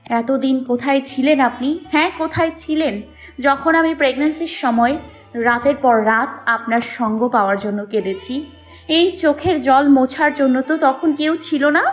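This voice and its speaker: A woman's voice: sits high, normal speed, close to an everyday voice. A woman playing a wronged, anguished and defiant young mother in an emotional romantic audio drama. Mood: anguished, accusing. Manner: emotional, pleading and accusing delivery. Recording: muffled audio with music behind. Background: background music.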